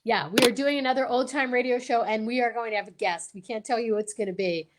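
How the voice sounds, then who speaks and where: Excitable woman with a fairly high voice. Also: fast, very noisy. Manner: excitable, emphatic. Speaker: co-host of a live online variety show. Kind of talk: casual live chat.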